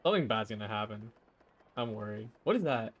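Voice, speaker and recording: A man's voice: lowish voice, animated, brisk, a bit bright. A man streaming a game with casual, playful commentary, muttering and exclaiming as he reacts. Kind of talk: casual live playthrough commentary.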